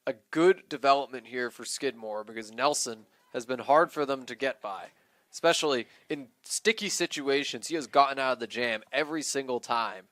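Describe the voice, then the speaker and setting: A man with a medium-pitched voice provides color commentary on TV baseball. He is conversational and analytical, speaking close into a headset mic with a dry sound. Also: normal speed, a bit bright, no room sound. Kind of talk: live TV sports analysis.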